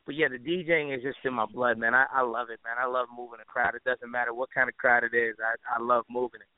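A man with a mid-pitched voice gives a casual interview as a music artist. He talks in a loose, slangy, rambling way. The recording is dry and narrow, like a telephone call. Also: little pitch movement, fast talker, dry recording. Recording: narrow tinny phone-line sound.

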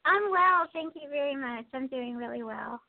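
A bubbly woman co-host with a high voice that swings widely. The line is thin and phone-like, and it sounds dry. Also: lively intonation, moderate pace, vocal fry, no room sound. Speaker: podcast co-host. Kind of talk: casual chat.

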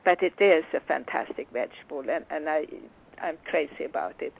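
A woman from Sweden with a Swedish accent, hosting a casual interview in a halting way, repeating words as she goes. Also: sits low, vocal fry. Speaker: radio show host. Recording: tinny phone-like radio sound.

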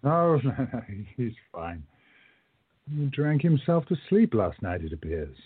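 A man's voice: mid-range voice, big pitch swings, a little slow, breathy edge. A man playing an earnest, commanding time-travelling adventurer in a radio play. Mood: concerned. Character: Joe, brisk adventurous time-travelling hero. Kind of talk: amateur radio play acting. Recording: tinny phone-like sound, faint music.

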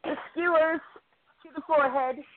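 A woman reporter giving a chatty, enthusiastic recap of a wrestling show, quick to poke fun at herself. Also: high voice, steady tone, noisy background. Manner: chatty, enthusiastic, self-deprecating. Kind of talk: casual podcast recap. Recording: tinny phone line.

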